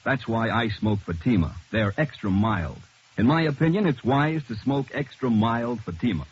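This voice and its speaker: A man reading a scripted testimonial in a 1950s radio advertisement, with a raspy voice. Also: medium pitch, lively intonation, normal speed. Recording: muffled old radio recording.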